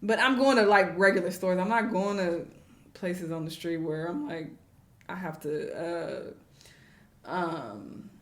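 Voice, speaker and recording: A woman's voice: fairly low pitch, a little slow, fairly bright tone. A woman from the United States, tired and chatty, giving casual reaction commentary and laughing easily. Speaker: reaction video host. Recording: roomy echo.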